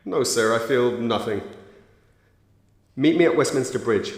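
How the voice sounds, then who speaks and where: An actor in a radio drama playing a cold, ruthless male intelligence agent with clipped, deadpan delivery. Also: medium pitch, measured pace, husky. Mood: cold, flat.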